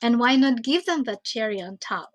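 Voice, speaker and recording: A woman giving a teaching talk, slow and thoughtful, pausing often with fillers. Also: fairly high pitch, animated. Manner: slow, thoughtful, with many hesitations. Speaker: teacher trainer.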